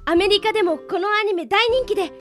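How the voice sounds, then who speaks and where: A woman playing a shy, polite transfer student girl who speaks haltingly with slightly off phrasing, in a radio drama. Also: extremely high pitch, a bit bright, faint music behind.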